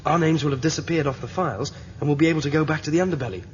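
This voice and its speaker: A man playing a calm, practical guide in a radio drama, reassuring a companion. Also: a little high, racing, close to an everyday voice. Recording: dull lo-fi audio with faint noise.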